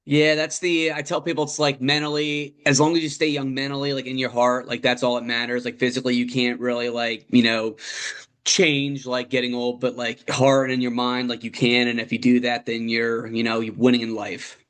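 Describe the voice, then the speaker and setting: A man's voice: mid-range voice, level tone, a little fast, a bit bright. A man in his thirties hosting a casual chat, talking in a loose way full of fillers and laughs. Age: 30s. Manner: chatty, filler-heavy, laughing.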